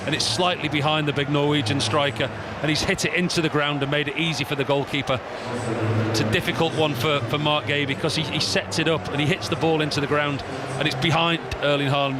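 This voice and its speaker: A man working as co-commentator, giving live, explanatory match analysis and restating his points, with stadium ambience behind. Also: on the high side. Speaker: co-commentator and pundit. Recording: clear ambient noise behind.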